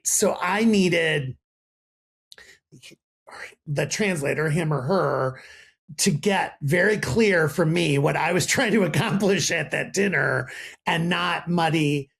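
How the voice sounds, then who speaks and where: Male podcast host, gravelly and husky, lively conversational delivery. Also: on the high side. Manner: animated, warm affirmations and laughs. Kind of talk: casual interview chat.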